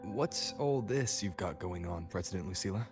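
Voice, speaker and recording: A reserved young wanderer, speaks in a fairly low voice. He is blunt and questioning, in video game dialogue style, with music clearly audible behind him. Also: lively intonation, moderate pace. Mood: calm, probing. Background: prominent background music.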